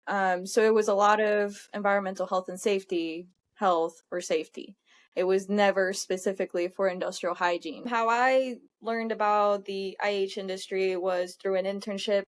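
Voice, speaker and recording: A young woman from Puerto Rico, a native Spanish speaker, talks through her answers in a mid-range voice. She is earnest and unhurried, and she pauses and restarts her sentences as she thinks. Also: medium pitch, fairly even intonation. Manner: earnest, with frequent fillers and self-corrections. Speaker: guest, industrial hygienist. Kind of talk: conversational interview answers.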